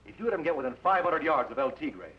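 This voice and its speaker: A man's voice: a little high, big pitch swings, fast talker. An actor playing a stern male outlaw boss, giving curt, menacing orders in an old-time radio drama. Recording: muffled, noisy old radio recording.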